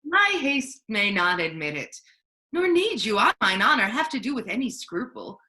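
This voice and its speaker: A woman playing a grave, courteous male ruler in a staged Shakespeare reading, formal and measured in phrasing. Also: fairly high pitch, very animated, pushed and bright. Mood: brisk, courteous. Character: grave, courteous male ruler handing over his power.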